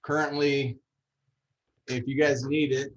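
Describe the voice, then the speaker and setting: A man running a casual online training session over a video call, relaxed and joking as he talks. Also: mid-range voice, slow, muffled recording. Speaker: MLS trainer.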